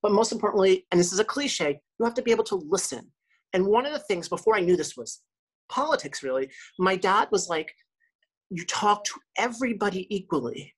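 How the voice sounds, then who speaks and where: A woman's voice: medium pitch, lively intonation, brisk, slightly rough. A woman radio host, a guest on a podcast, talking in a chatty, rambling way with many restarts. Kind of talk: casual interview talk.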